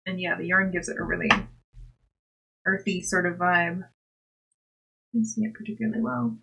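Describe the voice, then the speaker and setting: A woman's voice: fairly low pitch, steady tone, normal speed, gravelly, sharp tone, slight background noise, rough recording. A woman hosting a livestream, chatting to viewers in an informal, giggly way. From Scotland-born, grew up overseas and in the United States. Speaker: livestream host and crafter. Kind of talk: casual chat with livestream viewers.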